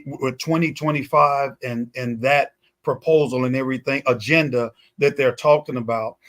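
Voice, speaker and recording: A man's voice: mid-range voice, fairly even intonation, moderate pace, a bit bright. A man in a conversational political talk show discussion, speaking emphatically and repeating phrases for weight.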